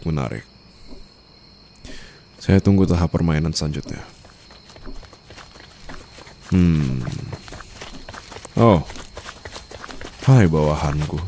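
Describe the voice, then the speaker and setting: Casting: male actor as composed mafia boss husband for ASMR roleplay, very deep, unhurried, formal, night ambience behind. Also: noisy background, heavily acted. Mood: amused, wary, then stern, displeased. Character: cool, controlling young mafia boss, newly married husband. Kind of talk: Indonesian ASMR roleplay acting.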